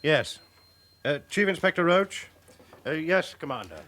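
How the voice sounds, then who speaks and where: An actor playing a terse, authoritative senior police chief in a radio drama. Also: male voice, a little high, very animated, unhurried, noisy background.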